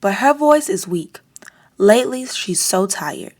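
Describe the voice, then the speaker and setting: A woman hosting a storytime, reading a children's picture book aloud in an expressive, deliberate way. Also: medium pitch.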